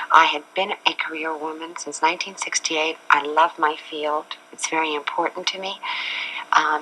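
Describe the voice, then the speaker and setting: Low-voiced woman guest from Chile. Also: bright, forceful tone, a little hiss or hum, rough recording. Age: 40s. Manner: earnest, explaining at length. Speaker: talk show guest. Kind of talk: candid personal talk. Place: TV studio set.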